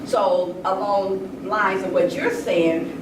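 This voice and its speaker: A woman's voice: mid-range voice, steady tone, slow. An older woman hosting an informal panel discussion, steering and interjecting as others talk. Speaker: discussion host. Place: large room at a live event. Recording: roomy, distant mic, noticeable background noise.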